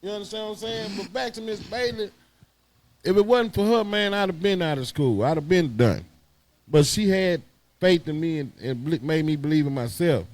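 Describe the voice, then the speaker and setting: Higher-pitched man telling stories. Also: moderate pace, a little mellow. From Houston, Texas. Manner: emphatic, slangy storytelling. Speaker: guest, high school alumnus. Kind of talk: casual reminiscing chat.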